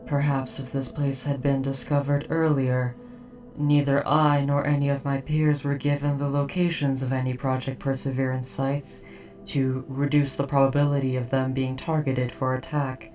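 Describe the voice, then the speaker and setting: A performer voicing a dutiful robot soldier in an audio drama, speaking in a formal, precise, measured and softly hesitant way. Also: mid-range voice, flat delivery, far from the mic, faint music behind, natural voice, rough recording. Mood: apologetic. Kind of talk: scripted roleplay audio drama.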